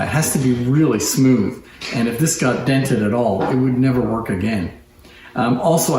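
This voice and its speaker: A man's voice: medium pitch, a little mellow. Man presenting in an echoey room. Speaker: presenter showing antique phonograph machines. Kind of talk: informal hands-on demonstration, explaining. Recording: roomy echo, clear background noise.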